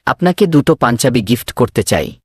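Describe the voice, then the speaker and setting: A man voicing a generous middle-aged father in a children's cartoon, hospitable and gently insistent. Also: mid-range voice, sing-song, brisk, breathy edge, no echo, studio quality. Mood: welcoming. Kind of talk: children's moral cartoon dubbing.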